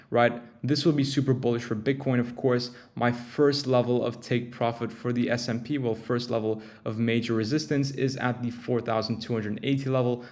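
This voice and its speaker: A young man from Turkey, tired after a long day, hosting a casual livestream in a rambling, chatty way that often interrupts itself. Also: mid-range voice. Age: young adult. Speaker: crypto livestream host.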